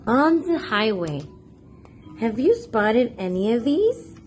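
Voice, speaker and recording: A woman's voice: fairly high pitch, big pitch swings, slow pace, a little mellow, soft background music. A woman hosting a children's read-aloud, reading a picture book expressively and putting questions to young listeners. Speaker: children's read-aloud storyteller and channel host.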